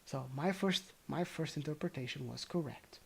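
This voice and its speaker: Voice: mid-range voice, normal speed, gravelly, husky. A storyteller narrating a fairy tale informally, playfully correcting themself and dropping in casual asides. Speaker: storyteller reading aloud.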